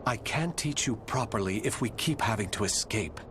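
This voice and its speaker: A man's voice: fairly low pitch, expressive, normal speed, very noisy, lightly acted. A man playing a proud, devoted swordsman and protector in a video game cutscene, speaking formally and earnestly. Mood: tender, earnest. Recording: loud music behind the voice.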